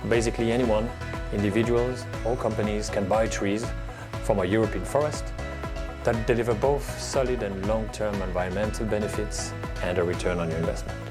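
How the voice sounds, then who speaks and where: A man's voice: lowish voice, fairly even intonation, brisk. A man, a company co-founder and CEO, giving a formal, scripted video message. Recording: music playing underneath.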